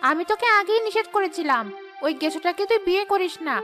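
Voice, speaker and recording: A woman's voice: extremely high pitch, expressive, quick pace, music in the background. A woman voicing a stingy, scornful female ghost in a cartoon, scolding and dismissive in a smug way. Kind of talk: cartoon ghost-story dialogue.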